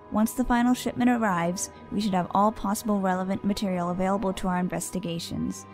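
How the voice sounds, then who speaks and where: Woman as a businesslike pony official, formal report, mid pitched measured voice, bit of music behind. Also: darkish tone, natural voice. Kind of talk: scripted report in horror audio drama.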